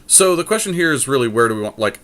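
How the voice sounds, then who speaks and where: A man's voice: medium pitch, very animated, quick pace, fairly bright tone. A man chatting casually as a podcast co-host, weighing card game choices as he thinks aloud. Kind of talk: casual chat while playing a card game draft.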